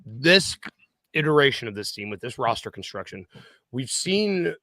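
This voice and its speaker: A man's voice: mid-range voice, big pitch swings, moderate pace, sharp tone, no room sound. A man co-hosting a casual sports talk show, who stammers through restarts and agrees with enthusiasm. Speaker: podcast co-host.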